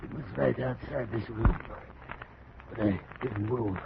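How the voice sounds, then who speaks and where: An actor playing a stubborn, fearful, vengeful plantation owner in a melodramatic old radio drama, with an agitated manner and a gravelly voice on a noisy recording. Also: male voice, mid-range voice, a little slow, muffled recording. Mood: shaken. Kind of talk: 1940s radio drama acting.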